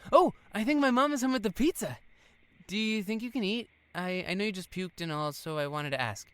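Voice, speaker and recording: A woman's voice: medium pitch, a little fast, fairly bright tone, no echo, close to an everyday voice. A woman playing a kind, concerned young boy in an audio drama, casual, a little hesitant and reassuring. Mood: friendly. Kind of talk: naturalistic audio drama acting.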